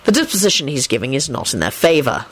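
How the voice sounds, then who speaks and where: Lady putting on a calm dignified officer guy, low voice, polite and bone dry, audiobook story. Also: fast talker, husky, no room sound, heavily acted. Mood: serene. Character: calm, sardonic, dignified male law officer.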